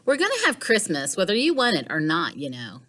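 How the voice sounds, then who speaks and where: Woman voicing an earnest young girl, very expressive. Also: lowish voice, fairly quick. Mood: exasperated.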